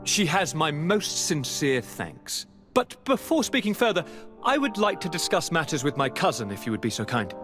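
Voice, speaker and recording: A young aristocrat of a fantasy game, breezy, affectionate and theatrical. His fairly high voice swings dramatically up and down through each polite phrase. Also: big pitch swings, normal speed, music in the background. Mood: courteous. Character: young noble male governor, affectionate, flippant, ailing. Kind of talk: fantasy video game acting.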